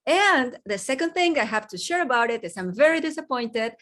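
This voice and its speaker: Accented woman host, really high voice, chatty and laughing a lot. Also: animated, fairly quick, fairly bright tone. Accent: foreign-accented English. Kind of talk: casual chat.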